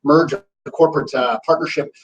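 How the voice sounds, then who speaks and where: A man's voice: fairly high pitch, normal speed, slight background noise. A man from Canada co-hosting a webinar, bantering and joking in casual chat. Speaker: webinar co-host. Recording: distant from the mic.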